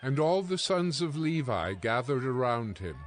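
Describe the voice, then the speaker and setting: A man narrating scripture from a script, solemn and deliberate, as in an audio Bible. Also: medium pitch, very animated, a little hiss or hum. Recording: music behind the voice.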